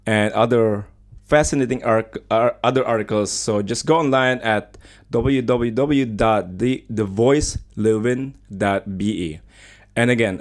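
A man hosting a live student radio show in a studio, chatting casually with many small hesitations. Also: fairly low pitch. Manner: chatty, hesitant with frequent fillers. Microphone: studio microphone.

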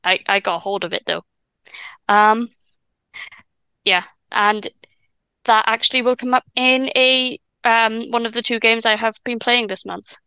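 Bright Welsh woman with a stutter. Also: on the high side, clear, resonant tone, no echo. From Wales. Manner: stammers and restarts, stutter; chatty, quick asides and laughs. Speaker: podcast guest. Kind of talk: casual chat.

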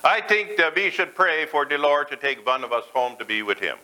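Man doing a Norwegian farm wife, folksy, singsong, raised pitch, bright tone. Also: a little fast, low-quality recording. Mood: scheming. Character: Norwegian farm wife, fed up with her husband.

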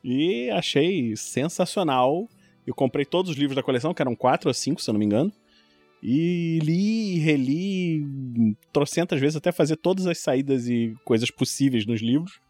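A Brazilian man in his forties with a Rio accent, chatting casually and telling stories. His voice sits fairly high and swings up and down a lot as he gets into what he is saying. There is some music behind him. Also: normal speed, no echo. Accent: Rio de Janeiro Brazilian Portuguese. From Rio de Janeiro, Brazil. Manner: animated, informal storytelling with quoted exclamations. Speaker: podcast guest, longtime tabletop RPG player. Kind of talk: casual chat, personal storytelling.

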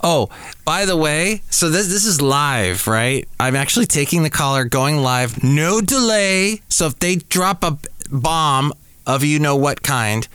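A man's voice: fairly high pitch, animated, a little slow, fairly bright tone, clean tone, dry recording. A middle-aged American man hosting a podcast, casually reminiscing with playful, self-mocking asides. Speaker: podcast host. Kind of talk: casual reminiscing commentary over old radio tapes.

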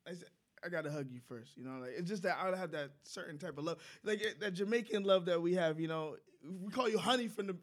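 A man's voice: high voice, quick pace. A man co-hosting a casual chat, playful and stammering, often starting over mid-sentence. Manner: stammering, playful, restarts a lot.

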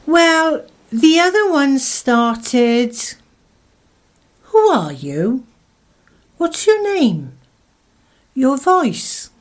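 A woman acting in a radio drama as a curious, helpful stranger, inquisitive and deliberate. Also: sits high, sing-song, full character voice. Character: curious, helpful stranger meeting a man at a war memorial.

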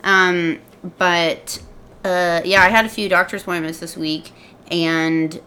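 A woman's voice: on the low side, steady tone, slow pace, pushed and bright, clean tone. A woman co-hosting a casual podcast chat, rambling with lots of "like". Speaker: podcast co-host.

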